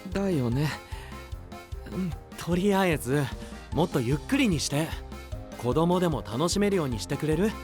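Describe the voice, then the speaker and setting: Man acting lively, exasperated boyish young knight. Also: on the high side, music in the background, natural voice. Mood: exasperated, bossy. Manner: brisk, boyish, exasperated retorts. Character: young male knight, exasperated, diligent royal aide. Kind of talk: anime-style voice drama acting.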